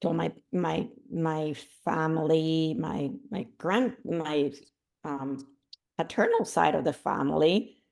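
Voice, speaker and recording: A middle-aged woman from Cuba, a podcast guest, telling her life story in an animated way, with restarts and laughs. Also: low-pitched, takes it slowly, gravelly.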